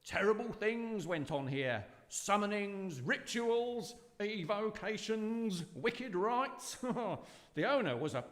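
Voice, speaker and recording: A man's voice: sits high, animated, takes it slowly, a bit bright, heavily acted. A man playing a fussy, posh, cheerfully sinister estate agent in a live radio drama, hesitant and chuckling. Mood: gleefully sinister. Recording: long hall reverb of live stage.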